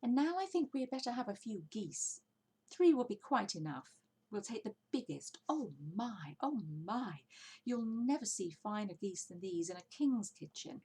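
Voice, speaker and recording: A woman's voice: a little high, very animated, moderate pace, a little mellow, breathy edge, pitched above the performer's own voice, full character voice. A woman voicing a confident, bossy, jolly father fox in a children's storybook, exclaiming and giving orders. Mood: gleeful, bossy. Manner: exclamatory, commanding, persuasive. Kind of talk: children's storybook character reading.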